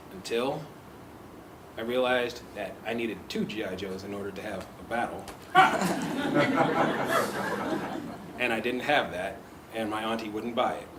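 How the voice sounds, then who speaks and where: A man giving a personal storytelling speech at a club meeting, lively and laughing often, then leading questions with a teasing tone. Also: mid-range voice. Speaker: club speaker and table topics master. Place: echoey meeting room. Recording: echoey room with background noise.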